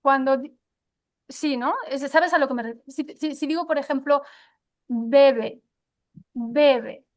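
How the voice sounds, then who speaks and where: High-voiced woman, hesitant teacher talk. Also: slow pace, long pauses. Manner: hesitant, many fillers and restarts. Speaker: guest, pronunciation teacher. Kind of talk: conversational interview answers.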